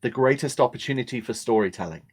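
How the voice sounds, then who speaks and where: A man's voice: medium pitch, lively intonation, quick pace, husky. A talkative man with an English accent, a voice actor, explaining things at length in a conversational interview. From born in England, grew up in England, Australia and America. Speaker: voice actor and screen actor, podcast guest. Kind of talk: conversational interview, telling personal history.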